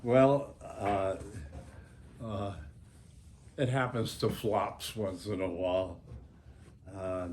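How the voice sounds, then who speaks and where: Really old american guy, like 90s, author reading his stuff out loud, slow and halting, lots of pauses. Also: on the low side, steady tone, a little hiss or hum. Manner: halting, deliberate, pausing between short phrases. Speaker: author reading own work. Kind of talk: reading an author's note aloud to a small gathering.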